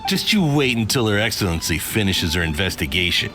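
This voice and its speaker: A man's voice: on the low side, big pitch swings, a little fast, music in the background. A man playing a dutiful, deferential male soldier in an English video game dub. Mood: stern.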